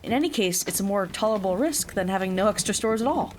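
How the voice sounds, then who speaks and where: A woman's voice: medium pitch, animated, fast talker, darkish tone, a little hiss or hum, natural voice. An actress playing an earnest, dutiful and impatient younger village woman in an audio drama, with slightly formal phrasing. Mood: earnest, resolute.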